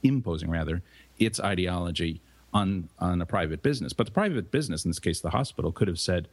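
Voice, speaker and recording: A man co-hosting a podcast, talking things through in a measured, explanatory way. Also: low voice, lively intonation, dry recording. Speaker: podcast co-host. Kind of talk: casual podcast discussion.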